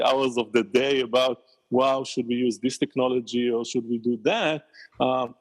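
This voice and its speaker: A man with an Israeli accent, a company founder, answering questions in a podcast interview with thoughtful, halting replies and frequent laughs. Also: mid-range voice, creaky, slightly rough, poor audio. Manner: thoughtful, many restarts, laughs often.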